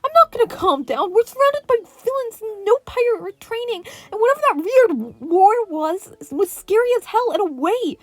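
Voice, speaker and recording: Casting: frantic teenage boy played by a woman, very high and loud, cowardly, protesting, hero fan-fiction podcast. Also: expressive, moderate pace, few pauses, no echo. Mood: panicked.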